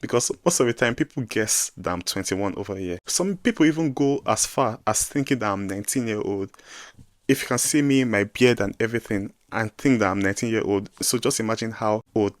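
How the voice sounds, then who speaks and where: A young Nigerian man in his twenties talks straight to the camera in a low voice, with a dry sound and no room echo. He gives advice with emphasis and bursts out loudly on his key points. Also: a little fast. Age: 20s. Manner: emphatic, animated advice-giving with sudden loud bursts. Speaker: vlogger giving advice to newcomers. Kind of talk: casual direct-to-camera vlog.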